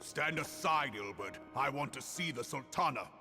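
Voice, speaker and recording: An actor playing a fierce, emotional general in a fantasy game, speaking with halting, dramatic intensity. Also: male voice, mid-range voice, animated, natural voice. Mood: grieving disbelief. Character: grief-stricken, vengeful male general, honest and fierce. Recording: game music behind. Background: music.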